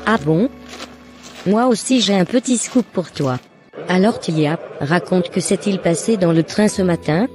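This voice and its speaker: A woman acting a skeptical young cousin in an animated drama, casual and teasing. Her voice is dark and relaxed, with soft music behind it. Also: lowish voice, measured pace, natural voice. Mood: friendly. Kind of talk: scripted casual animated dialogue.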